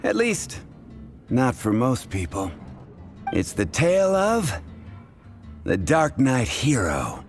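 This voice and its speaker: A man's voice: medium pitch, wildly swooping pitch, slow pace, long pauses, music in the background, full character voice. A man playing an easygoing, wryly amused tavern bartender in a video game. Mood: wry, conversational. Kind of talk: video game character voice acting.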